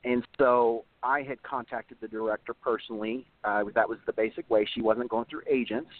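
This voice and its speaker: A slightly hoarse young man talks casually about his acting work and rambles with plenty of fillers. His voice comes through a narrow, tinny phone line with a dry sound. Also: mid-range voice, fairly even intonation, moderate pace, dry recording. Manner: rambling, many fillers and restarts. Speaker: actor and voice actor guest. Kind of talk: casual interview over the phone.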